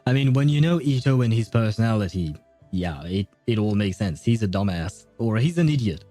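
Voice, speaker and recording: A man's voice: lowish voice, expressive, fairly quick, dark tone, faint music behind. A man streaming a story game, chatting casually in a rambling, jokey way and laughing at himself. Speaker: gaming streamer. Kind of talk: casual live game commentary.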